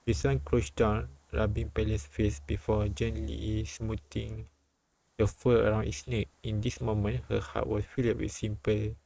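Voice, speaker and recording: A man reading a story and its dialogue aloud as a live streamer, often halting and repeating phrases. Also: on the low side, little pitch movement, gravelly, mellow, poor audio. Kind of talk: read-aloud narration and dialogue translation with side comments.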